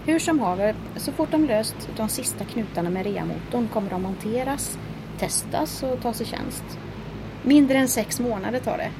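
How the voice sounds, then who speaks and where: A woman's voice: on the high side, animated, background noise. An actress playing a knowing, enthusiastic young woman engineer in an audio drama. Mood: earnest. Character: clever young Swedish woman engineer, spirited.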